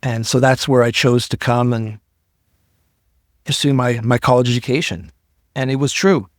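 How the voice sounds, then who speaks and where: A man's voice: medium pitch, moderate pace, dry recording, studio quality. A middle-aged man from Iran, a podcast guest telling his story earnestly and often repeating words as he builds up. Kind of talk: casual interview talk.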